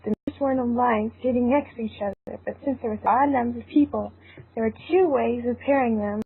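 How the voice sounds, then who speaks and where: A woman's voice: a little high, fairly quick, vocal fry, breathy edge. A woman narrating a fan-fiction story in a group reading, mixing scripted reading with casual chat. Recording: tinny narrowband voice call, faint noise, clicks.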